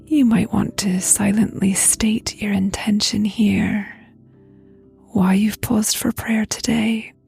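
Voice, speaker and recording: A woman guiding a prayer meditation in a soft, breathy near-whisper, with gentle, unhurried, spacious phrasing. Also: medium pitch, steady tone, faint music behind. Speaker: guided meditation leader, host. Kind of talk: guided prayer meditation, slow invitations.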